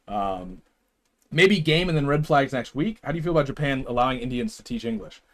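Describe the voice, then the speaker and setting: A man's voice: mid-range voice, expressive, a little fast. A young man streaming live, chatting casually with his viewers in a rambling way, chuckling often and trailing off.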